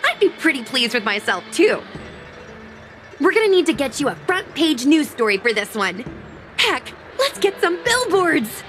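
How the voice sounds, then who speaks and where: A woman's voice: high voice, big pitch swings, normal speed, a bit bright, faint music behind. A woman voicing a cheeky, breezy, upbeat young woman in an anime game, teasing and emphatic. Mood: excited, teasing. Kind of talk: anime game cutscene voice acting.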